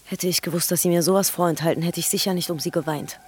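A woman's voice: fairly low pitch, steady tone, fast talker, a little mellow, slight background noise, lightly acted. An actress playing a tough, hot-tempered woman police detective with intense delivery in a radio crime drama. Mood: bitter.

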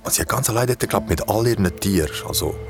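A male actor plays a troubled man in an audio drama, recalling his youth to friends. His deep Swiss German voice is colloquial and hesitant, over prominent music. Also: quick pace, lightly acted. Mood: earnest storytelling. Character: Besim, troubled man recounting childhood summers to friends. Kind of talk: audio drama dialogue, campfire storytelling.

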